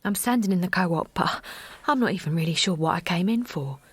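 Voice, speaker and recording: An actress playing Linda, an exhausted young mother, who narrates and talks in a halting, apologetic way. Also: fairly low pitch, slightly rough, no echo. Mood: weary. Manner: halting, apologetic, often softly spoken. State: tired, overwhelmed. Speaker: narrator and lead character. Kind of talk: audio drama acting.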